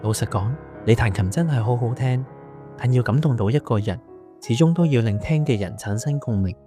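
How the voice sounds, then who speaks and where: A man's voice: medium pitch, expressive, brisk, warm tone, soft background music, lightly acted. A man with a Hong Kong Cantonese accent acting a kindly, wise male angel who mentors in a radio drama. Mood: calm, advising. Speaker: voice actor.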